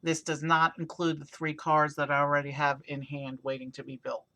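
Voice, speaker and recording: A hoarse man with a raspy voice casually narrating a toy car build on a stream, rambling with many fillers. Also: on the high side, completely flat delivery, moderate pace, sharp tone. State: hoarse from overuse. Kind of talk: casual live-stream commentary.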